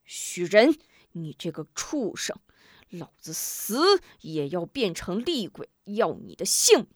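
A woman voicing a furious young male hero who shouts defiant curses. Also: on the low side, big pitch swings, takes it slowly, dry recording, clean studio sound, heavily acted.

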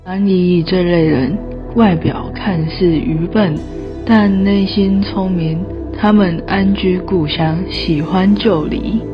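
A deferential minister played by a young woman speaks formal Taiwanese Mandarin in a radio drama. The voice sits fairly low, slightly muffled, with clear music behind it. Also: slow pace. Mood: explanatory. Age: young adult. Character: cautious, deferential royal court minister. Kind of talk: student radio drama acting. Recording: dull lo-fi audio under music.

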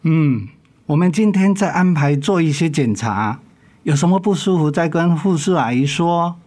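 Actor as caring doctor, higher male voice. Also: lively intonation, a little mellow, close to an everyday voice. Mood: kindly. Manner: measured, professional, explanatory. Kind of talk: radio drama acting.

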